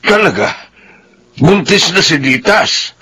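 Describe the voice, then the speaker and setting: A man's voice: high voice, expressive, takes it slowly, sharp tone, husky, exaggerated voice. An actor playing a mild, musing older father-in-law in a radio drama. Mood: musing, delighted.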